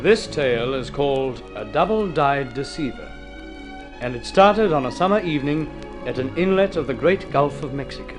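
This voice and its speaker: A man narrating an old-time radio drama in a formal, measured, literary storytelling style, with dramatic delivery. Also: mid-range voice, animated. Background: music under the voice.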